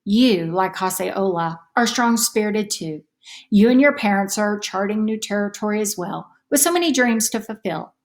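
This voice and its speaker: A woman voicing a kindly, encouraging older male relative in a storybook, gentle and reassuring. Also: medium pitch, normal speed, natural voice.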